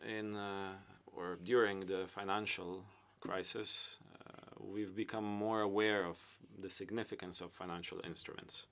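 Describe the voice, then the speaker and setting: A man giving simultaneous English interpretation at a conference panel, with frequent hesitant pauses and fillers. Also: sits low, fairly bright tone.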